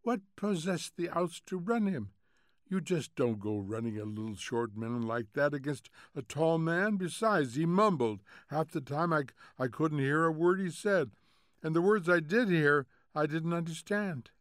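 A man's voice: fairly high pitch, lively intonation, a little slow, dry recording, clean studio sound, voice pushed up. A man voicing a flighty, complacent housewife who prattles smugly in an audiobook. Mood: gossipy, scornful. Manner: gossipy, self-satisfied prattle. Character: flighty, complacent society housewife, smug and chatty. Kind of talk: audiobook character voice.

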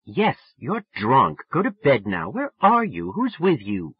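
A man's voice: sits high, steady tone, a little slow, no echo, phone-quality sound, pitched above the performer's own voice. A man voicing an exasperated young woman in an audiobook, curt and weary as she scolds and brushes off a drunk caller.

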